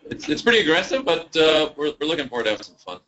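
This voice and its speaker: A man from a brewery, talking about beer in a relaxed, joking way as a podcast guest. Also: very fast, highs cut off. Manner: relaxed, joking, swings up in pitch. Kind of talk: casual interview chat.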